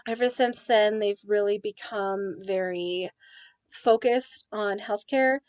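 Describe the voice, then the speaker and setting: A young woman presenting a school assignment report in a steady, earnest way. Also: mid-range voice, slow pace, clean tone, no echo. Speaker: student presenter. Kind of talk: reading a class report.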